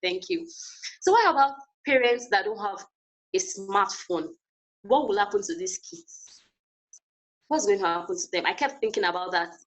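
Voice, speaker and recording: A woman's voice: mid-range voice, very animated, moderate pace, long pauses, low-quality recording. A woman giving an earnest, encouraging webinar talk over a video call, repeating her key phrases. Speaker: guest speaker.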